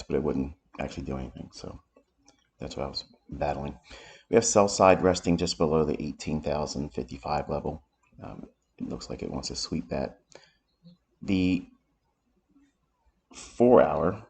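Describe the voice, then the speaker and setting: A man with a raspy voice from allergies, giving unhurried live commentary as he explains market charts. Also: extremely low pitch, darkish tone. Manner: unhurried, thinking aloud while explaining charts. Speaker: trading educator. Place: home office.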